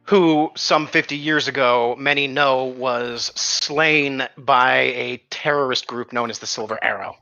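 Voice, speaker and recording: A man's voice: mid-range voice, steady tone, unhurried, few pauses, bright, forceful tone, clean tone, dull audio, soft background music. A man running a tabletop game as game master, casually narrating and recapping the story with some swearing.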